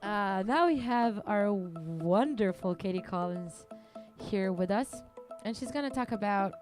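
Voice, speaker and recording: A woman's voice: mid-range voice, expressive, unhurried, a little mellow. A woman commentating a live beach contest, chatty and upbeat as she interviews athletes and reads out scores. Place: outdoor beach event. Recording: music playing behind.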